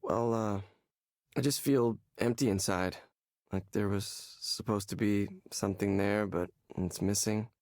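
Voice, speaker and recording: An actor playing a despairing, hollow man in a visual novel game, speaking haltingly and repeating words as he searches for them. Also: on the low side, fairly even intonation, a little mellow, no room sound, clean studio sound, natural voice. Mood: hollow, dejected. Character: despairing, hollow man confiding in a counseling session.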